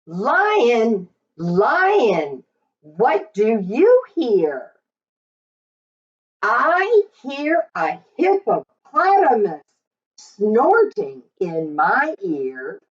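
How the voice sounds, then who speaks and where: A woman reading a picture book aloud to young children in an expressive, sing-song way. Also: fairly high pitch, drawn-out words. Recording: slightly dull, lo-fi recording.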